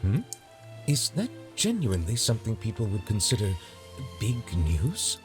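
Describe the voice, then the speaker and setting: Deep-ish serious formal judge, calm and measured, faint music behind. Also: male voice. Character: dignified, formal chief judge.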